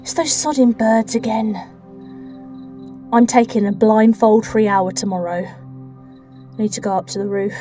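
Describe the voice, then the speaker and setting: A woman with a British accent playing a lonely, introspective woman in an audio drama, speaking intimately with deliberate pauses. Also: medium pitch, fairly even intonation, warm tone, faint music behind, close to an everyday voice. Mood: exasperated. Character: lonely, introspective woman telling her dark love story. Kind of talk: dramatic first-person audio drama narration and dialogue.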